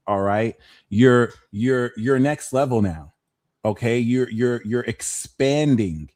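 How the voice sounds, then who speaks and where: A man's voice: medium pitch, darkish tone, no echo, studio quality. A man hosting a live pick-a-card tarot reading, talking in a playful, slangy way with jokes in between. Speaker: tarot reader and host.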